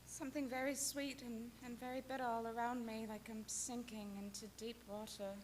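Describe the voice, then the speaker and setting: A woman playing a frail, frightened young gentlewoman in a radio drama, with faltering, tremulous delivery. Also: a little high, flat delivery, moderate pace, close to an everyday voice, poor audio. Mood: dazed, fearful. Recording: faint background noise.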